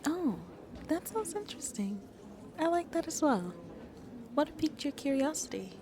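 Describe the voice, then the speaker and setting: A woman playing a shy but playfully flirty vampire on a date in an ASMR roleplay, speaking softly and intimately and often slipping into breathy whispers. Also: sits high, heavily acted. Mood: curious, attentive.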